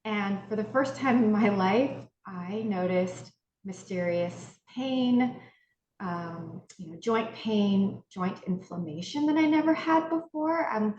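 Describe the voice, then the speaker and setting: A woman's voice: medium pitch, takes it slowly, darkish tone, highs cut off. A woman from Hawaii, a podcast guest telling her story in a chatty way, acting out what others told her and often restarting her sentences. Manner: chatty, acts out remembered remarks, frequent restarts. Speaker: podcast guest, integrative health practitioner. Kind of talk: casual storytelling interview. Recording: roomy echo, faint noise.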